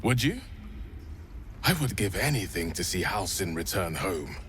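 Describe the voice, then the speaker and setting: A man's voice: low voice, very animated, measured pace, a bit bright, a little hiss or hum, full character voice. An actor playing a sober, dutiful man in a fantasy video game. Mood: grave. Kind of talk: video game cutscene acting.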